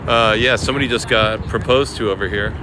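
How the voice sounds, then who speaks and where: A fairly low-voiced man rambles easily through a live stream, greeting viewers by name as he walks along a city waterfront at sundown. His phone mic catches loud city noise all around him, with traffic, trains and boats going by. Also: fairly even intonation, fairly quick. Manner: rambling, chatty, reads out viewer names. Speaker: livestream host. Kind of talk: casual livestream chat while walking.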